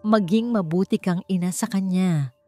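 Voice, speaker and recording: A woman's voice: mid-range voice, normal speed, warm tone, close to an everyday voice. A woman voicing a remorseful young man who earnestly begs forgiveness in a story drama. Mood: resigned, sad. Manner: sorrowful, earnest. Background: background music.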